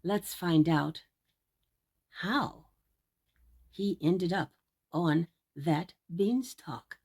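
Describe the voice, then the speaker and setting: A woman reading aloud as a children's storyteller, theatrical and deliberate, speaking directly to young listeners. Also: on the low side, animated, a little mellow. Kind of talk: expressive read-aloud storytelling.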